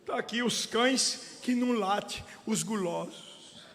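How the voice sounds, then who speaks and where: A middle-aged Brazilian pastor with a very high, bright voice. He preaches a sermon, dropping into soft, chatty stories and rising to loud, shouted declarations. The sound rings with the long reverb of a large hall. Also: slow pace.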